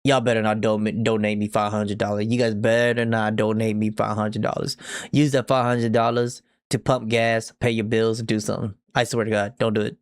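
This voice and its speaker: A young man streaming a game, chatting loosely with viewers and reading story text aloud, sometimes in a deep half-whisper. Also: flat delivery, normal speed, clean tone, no room sound, clean studio sound. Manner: rambling, slangy, reads dialogue in a deep whisper. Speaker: gaming streamer.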